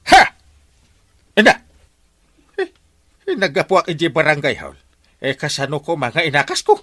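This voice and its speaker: An actor with an Ilocano accent playing a flustered, whining village captain in a comic radio drama, stammering and wailing in repeated exclamations. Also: male voice, on the high side, huge pitch swings, normal speed, sharp tone, dry recording, full character voice. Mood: whining, exasperated. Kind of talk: broad comedy radio drama acting.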